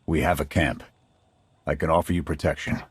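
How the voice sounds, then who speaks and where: A jaded squad commander from a video game, his low voice rough and husky. He speaks in terse, clipped military phrases. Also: fairly even intonation, moderate pace, dry recording, heavily acted. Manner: terse clipped soldier talk. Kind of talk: video game cutscene acting.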